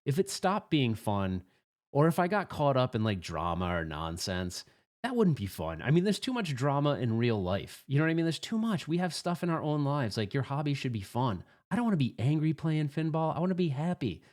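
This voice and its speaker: A man's voice: mid-range voice, big pitch swings, brisk, a little mellow. A man hosting a podcast in casual, earnest, rambling chat with an upbeat manner. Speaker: host of a live pinball podcast. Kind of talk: casual chat, reacting to voicemails.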